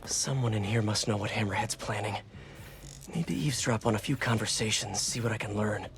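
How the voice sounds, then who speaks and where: A man's voice: on the low side, fairly even intonation, a little fast, over music, lightly acted. A young man playing an earnest, wisecracking superhero in a video game, talking in a conversational, musing way. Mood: focused, quippy. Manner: quippy, musing aloud to himself.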